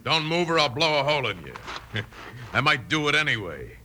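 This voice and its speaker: A man's voice: a little high, sing-song, brisk. An actor playing a cocky outlaw gunman on the run, barking boastful threats in a western radio serial. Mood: menacing. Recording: noisy old radio recording.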